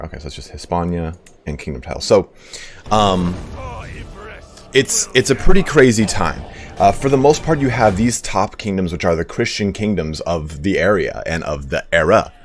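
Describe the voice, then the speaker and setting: A man streaming a game while giving a casual, rambling history talk, hoarse today, with blunt profanity and shout-outs to donors. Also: low voice, a little hiss or hum. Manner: rambling, profane, reads donations aloud.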